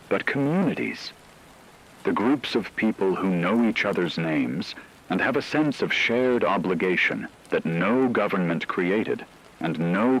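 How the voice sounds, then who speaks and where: A man playing a solemn radio broadcaster delivering a measured, formal scripted monologue in an apocalyptic radio drama. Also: low voice, a bit bright, a little hiss or hum, full character voice, rough recording. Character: grave male radio broadcaster bearing witness to global collapse.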